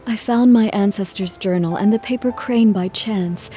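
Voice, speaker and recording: An actress playing a gentle, earnest traveling musician in a video game, speaking politely and in measured phrases. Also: female voice, medium pitch, faint music behind, natural voice. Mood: wistful, earnest. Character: gentle, earnest female traveling musician, composed but careworn. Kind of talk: video game cutscene acting.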